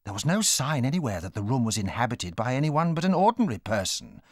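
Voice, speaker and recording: A man's voice: medium pitch, very animated, fast talker, dry recording, clean studio sound. A man acting as the narrator of a children's audio drama, recalling his own adventure with dramatic storytelling. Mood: absorbed, suspenseful.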